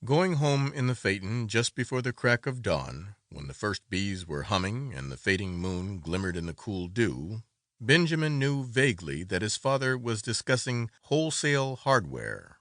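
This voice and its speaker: A man's voice: fairly low pitch, animated, moderate pace, fairly bright tone, no room sound, clean studio sound. A man narrating a classic literary story as a dramatic, scripted audiobook reading.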